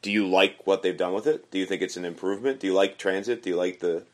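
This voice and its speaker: A man hosting a casual gaming podcast, chatty and quick with follow-up questions. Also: low-pitched, fairly even intonation. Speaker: podcast host.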